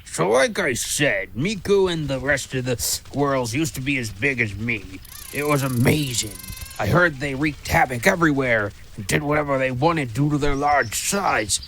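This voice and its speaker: A man's voice: mid-range voice, expressive, moderate pace, hardly any pauses, a bit bright, slight background noise. A man voicing a boastful, grumpy giant talking squirrel in an audio drama, with a blustering storyteller's manner. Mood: boastful, storytelling. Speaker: voice actor. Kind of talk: audio drama character acting.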